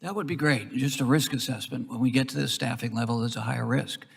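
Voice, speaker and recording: A very raspy, creaky-voiced American man questions witnesses as a congressman. He speaks in halting, looping phrases. The sound rings with the long reverb of a hearing room. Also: medium pitch. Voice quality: husky, gravelly. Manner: halting, circling, with broken-off phrases. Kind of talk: rambling committee questioning. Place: large indoor committee hearing room.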